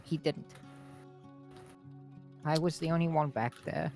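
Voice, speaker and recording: A man roleplaying in a tabletop game, speaking haltingly with pauses mid-sentence. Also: on the high side, gravelly, darkish tone, soft background music.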